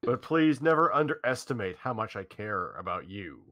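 A man's voice: fairly low pitch, big pitch swings, normal speed, a bit bright, no room sound. A man playing an earnest, caring young male protagonist in a visual novel reading. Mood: earnest, reassuring.

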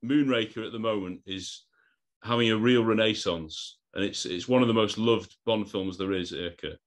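A man's voice: on the low side, normal speed. A man in his thirties hosting a podcast interview on a video call, eager, often repeating words and laughing between lines. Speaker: podcast host.

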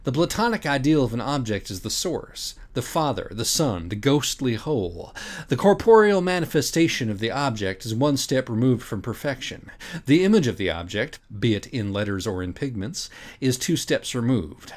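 A man's voice: medium pitch, very animated, normal speed. A man reading an audiobook as a dramatic rant, emphatic and sardonic in manner. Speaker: audiobook reader. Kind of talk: dramatic first-person reading of a mock-philosophical rant.

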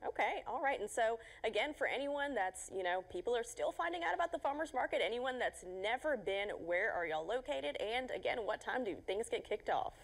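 A woman's voice: on the high side, lively intonation, fairly quick, few pauses. A young woman hosting a morning news segment in a studio, friendly and chatty with light laughs.

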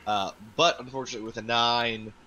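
A man narrating as game master of a tabletop game, halting with fillers and restarts. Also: mid-range voice, steady tone, pushed and bright, slight background noise.

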